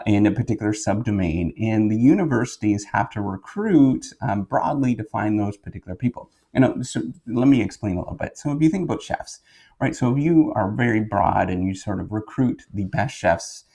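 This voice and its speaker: A man with a Canadian accent giving a casual explainer monologue as a professor, in a halting, rambling way, correcting himself and checking in as he goes. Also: fairly low pitch, vocal fry, dark tone. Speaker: professor and video host.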